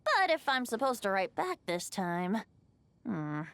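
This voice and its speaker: A woman's voice: mid-range voice, sing-song, a little slow, a bit bright, clear, resonant tone, dry recording. A woman voicing a youthful male bard spirit in an anime video game, breezy, playful, eloquent and theatrical. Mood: breezy, musing.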